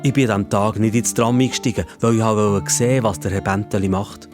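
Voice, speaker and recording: A man's voice: on the low side, steady tone, brisk, over music. A man with a Swiss German accent telling a children's story in the first person.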